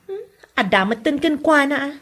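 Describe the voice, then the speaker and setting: Actress as high-voiced, sensible young woman. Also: sing-song, normal speed, fairly bright tone. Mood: calming. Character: sensible young woman salon worker, patient but blunt friend. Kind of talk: Ilocano comedy radio drama acting.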